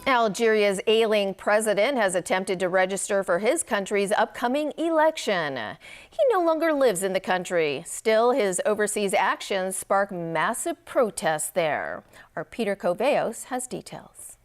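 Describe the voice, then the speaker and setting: A woman news anchor reading scripted news stories in a measured broadcast delivery. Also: medium pitch, lively intonation.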